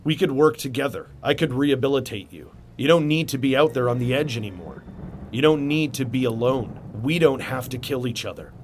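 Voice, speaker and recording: A man playing a grim, resolute masked vigilante hero in a comic-book drama, speaking in a stern, measured and earnest way. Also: medium pitch, expressive, slight background noise, lightly acted. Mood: earnest appeal. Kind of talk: comic-book motion comic acting.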